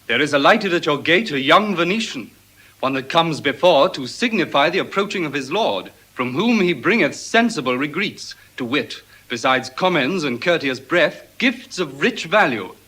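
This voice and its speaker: An actor playing an eager, effusive household messenger in a classical play, speaking in florid announcements. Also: male voice, on the high side, lively intonation, normal speed, bright, forceful tone, breathy edge, exaggerated voice, poor audio. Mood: excited, admiring. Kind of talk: classical stage verse acting.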